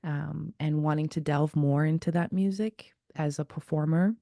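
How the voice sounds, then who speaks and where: A woman in her thirties from Zimbabwe speaks with a slightly low voice, recorded close and dry with no room around her. She tells her story as a guest jazz singer in a reflective, unhurried way. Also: steady tone, creaky, warm tone, no room sound. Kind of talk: casual interview.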